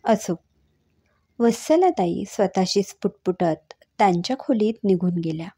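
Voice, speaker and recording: A woman's voice: medium pitch, expressive, unhurried, dark tone, slightly rough, no echo, clean studio sound. A woman narrating a scripted emotional family story.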